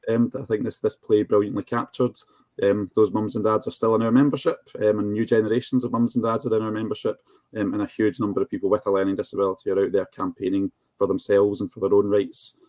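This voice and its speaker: Fast-talking man, close dry sound. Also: fairly low pitch, flat delivery, a little mellow, dry recording. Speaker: charity representative on a panel. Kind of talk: informal advocacy talk.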